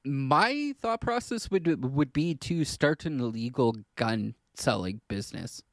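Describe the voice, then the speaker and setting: A man with a mid-pitched voice talks in a very dry, close space. He is a casual podcast co-host who rambles haltingly, doubling back on words as he builds his point. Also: very animated, a bit bright, no echo.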